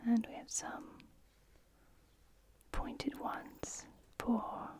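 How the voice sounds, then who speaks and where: A woman speaking in a soft, breathy whisper, gently role-playing an attentive shop assistant for ASMR. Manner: gentle, unhurried, attentive to the customer.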